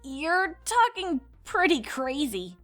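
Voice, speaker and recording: A woman's voice: high-pitched, very animated, slow, fairly bright tone, faint music behind, full character voice. A woman voicing a brash young female cartoon pony with a breezy, slangy manner in a fan fiction dramatization. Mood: baffled.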